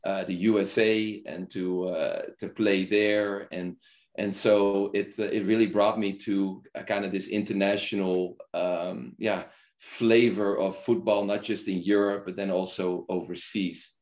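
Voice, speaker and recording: A middle-aged man from the Netherlands, a podcast guest, telling his story in a relaxed, rambling way full of fillers and restarts. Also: low voice, measured pace. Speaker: podcast guest, football club founder. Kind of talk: casual conversational storytelling.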